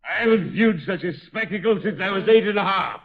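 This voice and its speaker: A pompous, theatrical gentleman speaks in florid, indignant phrases. His voice sits fairly high. The sound is a slightly dull vintage radio-play recording. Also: brisk. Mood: scandalised. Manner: florid, indignant, grandly over-enunciated phrasing. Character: pompous, haughty, theatrical gentleman, easily scandalised. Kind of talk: old-time radio detective drama acting.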